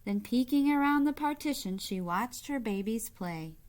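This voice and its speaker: A woman reading a children's picture book aloud like a teacher, with expressive, deliberate phrasing and short asides to young listeners. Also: fairly high pitch. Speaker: read-aloud storyteller, teacher-like.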